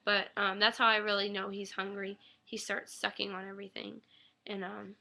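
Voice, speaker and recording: A young woman recovering from an illness, her voice a little raspy, rambling casually about her newborn son with lots of ums. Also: medium pitch, level tone, moderate pace, bright, forceful tone. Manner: rambling, many ums, coos baby talk to her infant. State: getting over an illness. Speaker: new mother vlogger. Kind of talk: casual webcam update. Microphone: webcam mic.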